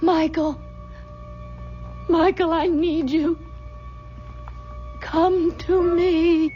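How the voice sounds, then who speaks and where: An actress playing a haunted, desperate young pregnant widow in a radio mystery drama, intense and pleading. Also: female voice, extremely high pitch, flat delivery, very slow, dark tone, heavily acted. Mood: yearning, pleading. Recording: dull old radio recording, music behind.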